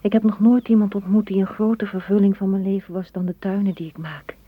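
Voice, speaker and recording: An actress in a radio play playing a dreamy, tender woman gardener, speaking softly and poetically, often in a whisper. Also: medium pitch, flat delivery, fairly quick, dry recording, natural voice. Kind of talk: radio drama acting. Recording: muffled, noisy old radio recording.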